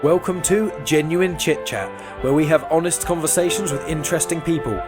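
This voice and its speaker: A man's voice: on the high side, brisk, music in the background. A man hosting a podcast, talking in an informal, chatty, rambling way. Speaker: podcast host. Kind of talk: casual rambling podcast chat.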